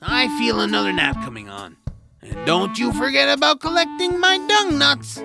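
A man's voice: very high-pitched, sing-song, normal speed, gravelly, music in the background, full character voice. A man acting a grumpy, sarcastic swamp creature who likes his naps, in an audio drama. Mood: grumbling. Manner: blunt, sarcastic, grumbling. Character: grumpy nap-loving male swamp creature, gruff reluctant rescuer.

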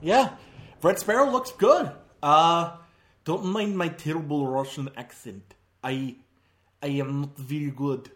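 A man's voice: a little high, slow, a bit bright. A man hosting a movie podcast, chatting casually and rambling with lots of fillers and self-corrections. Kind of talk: casual chat.

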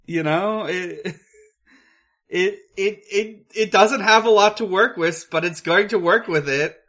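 A podcast co-host recapping a film casually, in a hesitant, halting way with searching pauses. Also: medium pitch, fairly bright tone.

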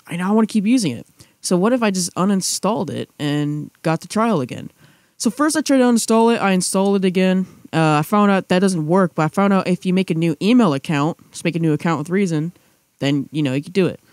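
A man's voice: high voice, dry recording, clean studio sound. A young man chatting casually as a podcast co-host, rambling with restarts and fillers. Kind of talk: casual chat.

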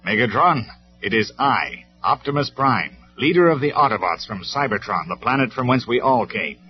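A man's voice: mid-range voice, lively intonation, moderate pace, pitched above the performer's own voice, natural voice. A man voicing a noble robot commander in a storybook reading, speaking in a stern, formal, declamatory way. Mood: commanding. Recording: dull lo-fi recording with music.

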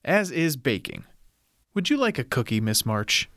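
An oddball household assistant in a comic audio drama. His voice is bright and forward with lively swings in pitch, eager to please yet absurdly formal and deadpan. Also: normal speed, no room sound, studio quality. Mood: deadpan, proud.

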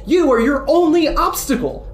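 A woman's voice: sits high, moderate pace, natural voice. A woman voicing a cocky, brash female troll who taunts, swears freely and talks down to others in an amateur audio drama. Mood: insistent. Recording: echoey room with faint noise.

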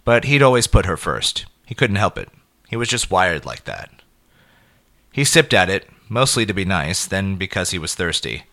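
Deep, bright-voiced man narrating dark fiction. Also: sing-song, moderate pace. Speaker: narrator of a short horror story. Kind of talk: scripted dramatic audio fiction narration.

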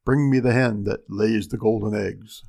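A man voicing a huge, bossy, suspicious man-eating ogre in a storybook tale, with booming commands and chanted threats. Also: steady tone, moderate pace, creaky, darkish tone. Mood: menacing, demanding.

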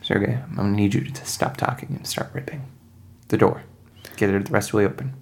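A man's voice: fairly low pitch, fairly even intonation, a little fast, vocal fry, a little mellow, husky. A man voicing a wry, bossy, exasperated scavenger rebel in a tabletop game.

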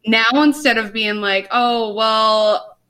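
A woman's voice: on the high side, takes it slowly, bright, forceful tone, clear, resonant tone. A young woman co-hosting a podcast in rambling casual chat, full of fillers, talking over a video call. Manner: rambling, lots of fillers and laughs. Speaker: podcast co-host.

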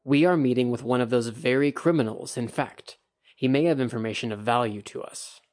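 A man doing a hushed, secretive official. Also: medium pitch, natural voice. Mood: dry. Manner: hushed, urgent, measured.

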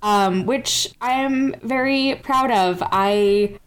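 A woman co-hosting a casual podcast, chatting enthusiastically and laughing often, recorded on a mic with the gain set too high. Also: slow pace, clear, resonant tone. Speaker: podcast co-host. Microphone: gain set too high, sounds blown out.

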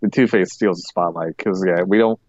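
A man's voice: low-pitched, fairly even intonation, a little fast, a little mellow, no echo, muffled recording. A man co-hosting a podcast in a casual review chat, rambling, hesitant and often correcting himself. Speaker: podcast co-host.

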